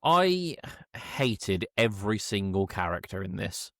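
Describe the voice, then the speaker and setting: A man's voice: on the low side, sing-song, measured pace, a bit bright, no room sound, studio quality. A man co-hosting a casual film review chat, sardonic, exasperated and sweary. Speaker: podcast co-host.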